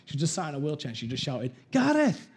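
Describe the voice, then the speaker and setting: A man's voice: medium pitch, very fast. A man from Wales giving a personal testimony at church, telling his story candidly, acting out quotes and laughing at himself. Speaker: church speaker.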